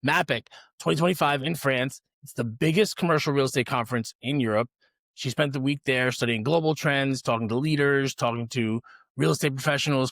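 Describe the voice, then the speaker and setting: A man's voice: medium pitch, a bit bright, no echo. A man hosting a business podcast, chatting upbeat and explaining things straight to his listeners. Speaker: podcast host. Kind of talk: casual interview and ad read.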